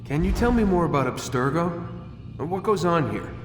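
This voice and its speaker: An actor playing a skeptical, sarcastic young captive in a video game, talking in a casual, wry way. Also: male voice, expressive, moderate pace, soft background music, close to an everyday voice. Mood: curious, wry. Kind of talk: video game cutscene acting. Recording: echoey, distant room, faint rumble.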